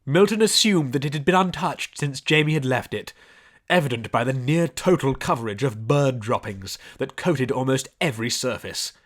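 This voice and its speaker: A man reading scripted story narration in a measured storybook delivery. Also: mid-range voice, expressive. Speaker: audiobook narrator.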